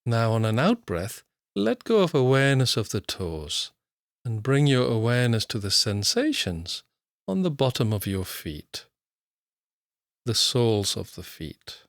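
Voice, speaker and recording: Low-voiced man guiding a meditation. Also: sing-song, no room sound, studio quality. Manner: unhurried, soothing, measured with long pauses. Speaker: mindfulness meditation guide. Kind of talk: guided body scan meditation narration.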